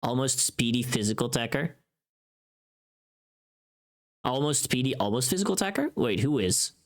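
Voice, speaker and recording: A livestream host chatting casually with viewers in an animated, informal, sweary way. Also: mid-range voice, normal speed.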